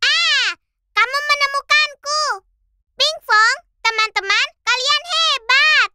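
Squeaky, cheerful baby shark. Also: female voice, slow. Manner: cheerful, childlike. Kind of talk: children's cartoon dubbing. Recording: loud children's music behind.

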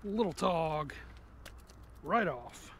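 A man's voice: a little high, expressive, very slow, a little hiss or hum. A man vlogging while fishing on an outdoor pier, chatting casually and muttering to himself, recorded on a head-mounted action camera. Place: outdoors on a pier over an inlet.

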